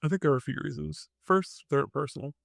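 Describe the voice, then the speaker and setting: A man's voice: a little high, quick pace, gravelly, no echo, clean studio sound. A man hosting a podcast, reading scripted narration in short dramatic phrases with wry asides. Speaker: podcast host.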